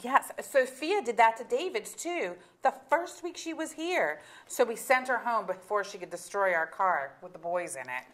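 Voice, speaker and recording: An actress plays an exasperated middle-aged mother in a naturalistic stage comedy. Her voice sits fairly high, and she speaks with candid, sardonic frankness. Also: animated, normal speed, few pauses, fairly bright tone. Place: auditorium stage.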